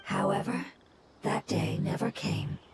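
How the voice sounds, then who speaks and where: A woman voicing a small, innocent nature creature in a video game, speaking politely and formally in a breathy way. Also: unhurried, loud music behind the voice, close to an everyday voice. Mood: wistful. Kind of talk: video game character acting.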